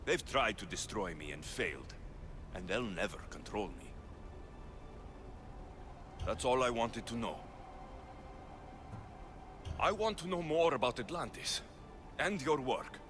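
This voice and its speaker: A man's voice: medium pitch, expressive, measured pace, pauses often, lightly acted. A man playing a determined young mercenary hero of ancient Greece in a video game, blunt and resolute. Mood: guarded, demanding. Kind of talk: video game cutscene acting. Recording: music behind the voice.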